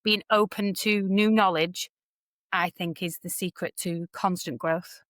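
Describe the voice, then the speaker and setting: A woman's voice: lowish voice, unhurried, no room sound, clean studio sound. A woman in her forties from the UK, a guest entertainer talking candidly in an interview and acting out quoted voices. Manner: candid and animated, quotes others in lively voices. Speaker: guest entertainer and talent mentor.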